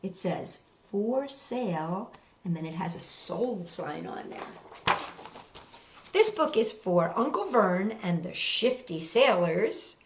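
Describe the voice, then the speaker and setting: Slow-paced woman reading a children's story. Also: lowish voice, a little hiss or hum. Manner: measured, expressive reading with emphasized rhymes. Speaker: storytime host reading picture books. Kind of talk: read-aloud storytelling with asides to children. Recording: roomy echo, slightly dull sound.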